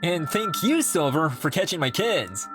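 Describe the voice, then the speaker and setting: A man voicing a heroic, caring hedgehog king and father in an animated comic dub, brisk and commanding. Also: fairly high pitch. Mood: grateful. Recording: music behind the voice.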